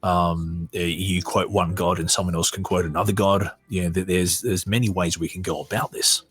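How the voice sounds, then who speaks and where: A man with an Australian accent and a husky voice, hosting a podcast, reading aloud and commenting off the cuff in a conversational way. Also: deep voice, fairly even intonation, normal speed, a bit bright. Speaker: podcast host.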